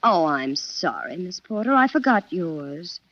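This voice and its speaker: A woman's voice: fairly low pitch, very animated, unhurried, background noise. An actress playing a rich man's poised, attentive assistant with a polite, breezy hostess manner in 1940s radio style. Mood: concerned, placating. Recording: dull vintage 1940s radio recording.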